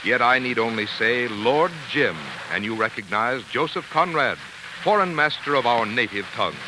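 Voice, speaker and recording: Animated 1940s radio announcer man. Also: medium pitch, moderate pace. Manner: polished, earnest, oratorical. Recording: noisy old 1940s radio recording.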